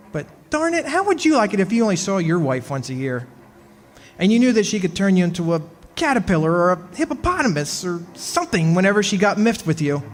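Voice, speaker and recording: A man playing a henpecked husband haunted by his witch wife, telling his story in a wry, aggrieved, hesitant radio-drama manner. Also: on the high side. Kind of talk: old-time radio drama, storytelling monologue. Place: stage performance in a club hall. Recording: hall reverb, music behind.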